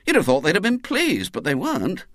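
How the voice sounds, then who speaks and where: A man's voice: high-pitched, wildly swooping pitch, a little fast, a bit bright, no echo, clean studio sound, pitched above the performer's own voice, full character voice. A man voicing an earnest schoolboy explaining himself at length. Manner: earnest, long-winded reasoning. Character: earnest, self-righteous schoolboy.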